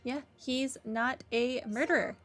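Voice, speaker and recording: A woman streamer with a highish voice comments casually on a game. She talks at an unhurried pace, with faint music behind her. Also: steady tone, a bit bright. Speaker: gaming streamer.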